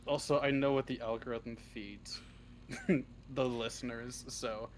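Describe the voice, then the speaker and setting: Man, mid-pitched voice, casual interview guest, swears freely, faint noise on a livestream call. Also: steady tone, measured pace. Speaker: interview guest, audio roleplay script writer. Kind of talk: casual, unscripted interview answers.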